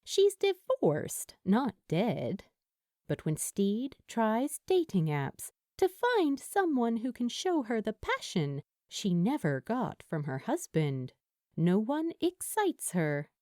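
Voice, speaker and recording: Woman narrating fiction, unhurried and expressive. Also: a little high, dry recording, studio quality. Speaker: amateur audiobook narrator.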